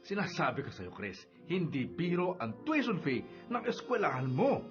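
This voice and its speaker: A man's voice: fairly high pitch, wildly swooping pitch, moderate pace, dull audio, faint music behind. A man with a Filipino accent playing a stern, demanding middle-aged father in a radio drama, nagging with heavy emphasis. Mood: stern, exasperated.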